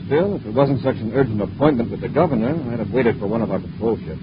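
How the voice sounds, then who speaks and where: A man acting as a level-headed commander of a space patrol in a 1950s radio serial. He is decisive and talks fast at a middle pitch. The old recording is dull, with very heavy noise. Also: expressive, lightly acted. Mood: composed, firm.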